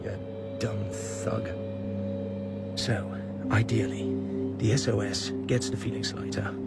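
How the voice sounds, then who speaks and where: An actor playing a wry secret agent in a radio play, speaking in a clipped, musing way. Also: male voice, fairly low pitch, steady tone, slow pace. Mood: tense, musing. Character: wry, tense British secret agent, adult man. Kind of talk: radio drama acting. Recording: radio play with loud music underneath.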